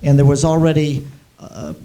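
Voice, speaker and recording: A man's voice: fairly high pitch, flat delivery, normal speed, warm tone. A man moderating a Q&A, asking questions with stammers and repeated words. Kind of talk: interviewing on stage.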